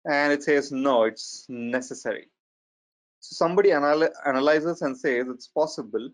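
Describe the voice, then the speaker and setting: A man in his forties with a strong Indian accent, giving an online lecture in a measured, hesitant way with fillers. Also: on the high side. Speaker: data science trainer. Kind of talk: webinar lecture. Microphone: computer mic on a video call. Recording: slightly muffled online webinar audio.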